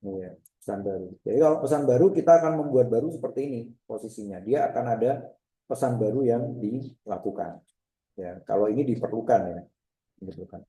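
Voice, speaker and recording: A man's voice: fairly low pitch, animated, a little fast, mellow. A man giving an informal step-by-step demonstration as a lecturer, repeating himself with short checking tags, with a slight cough. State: bit of a cough, clearing throat. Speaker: lecturer teaching a software diagram class. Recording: echoey room sound.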